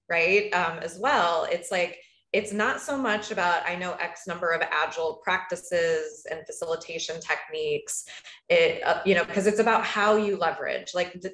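A woman's voice: fairly low pitch, a bit bright, roomy sound. A woman speaking on a video call as a panelist, talking in a casual, thoughtful way with many "like"s and "right?"s. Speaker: panelist, agile coach.

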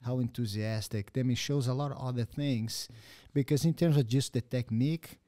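Low mellow-voiced host, halting phrasing. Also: male voice. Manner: searching, halting phrasing with non-native grammar. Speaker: podcast host and jiu-jitsu instructor. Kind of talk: interview conversation. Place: podcast studio in a martial arts gym. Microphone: boom-arm studio mic with headphones.

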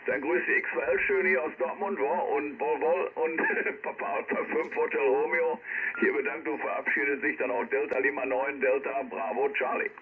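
A man's voice: mid-range voice, fairly even intonation, normal speed. A man with a Ruhr German accent chatting casually over amateur radio, jocular and colloquial. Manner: jocular, colloquial, frequent tag words. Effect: radio transmission. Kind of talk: casual ham radio chat. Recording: tinny, noisy single-sideband radio reception. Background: radio static.

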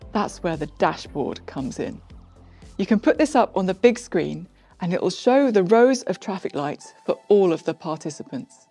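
A middle-aged woman coach explains a group coding practice to camera in a clear, friendly way. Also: mid-range voice, warm tone. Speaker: software coach. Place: studio with plain backdrop.

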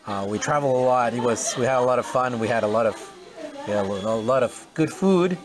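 A man's voice: mid-range voice, fast. Man with a mexican accent, forties, chatty and rambling, outdoor interview, close camera mic. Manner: rambling with many restarts and fillers. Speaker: restaurant owner. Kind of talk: casual interview. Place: outdoors at a farm and on a restaurant patio.

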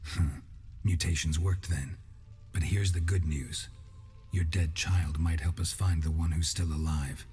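Cold, cynical monster hunter for a fantasy game: extremely deep, deadpan, terse, faint music behind. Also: male voice, a little slow. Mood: matter-of-fact. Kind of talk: dramatic video game acting.

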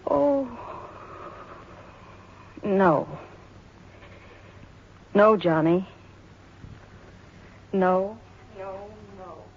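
An actress playing an earnest mother remembering a troubled love, in intimate, halting 1940s radio drama style. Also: mid-range voice, expressive, a little hiss or hum. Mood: shaken refusal. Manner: wistful, halting, emotional delivery with dramatic repetition. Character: earnest mother recalling her troubled love for a hard man. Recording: dull 1940s radio recording, music behind.

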